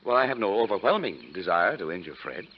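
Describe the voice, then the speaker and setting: Wealthy stepfather: florid, fussy, self-important, low register, 1940s radio, noisy vintage recording. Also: very animated, fast talker, muffled recording. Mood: pompous, scheming. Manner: pompous, florid, flustered hesitations.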